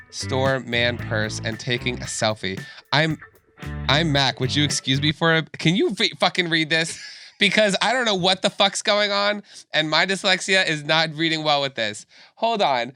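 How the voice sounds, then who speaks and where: A man co-hosting a podcast in crude, excitable chat, sniffly, laughing and swearing as he reads fanfic aloud. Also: a little high. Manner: profane, excitable, laughing often. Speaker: podcast co-host.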